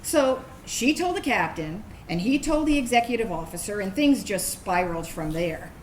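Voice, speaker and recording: A woman's voice: mid-range voice, normal speed, close to an everyday voice. A woman acting a reflective former Navy officer, reading an earnest personal monologue on stage. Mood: earnest, resolute. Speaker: actor reading a veteran's personal narrative. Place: indoor room, staged reading. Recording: echoey room, steady background noise.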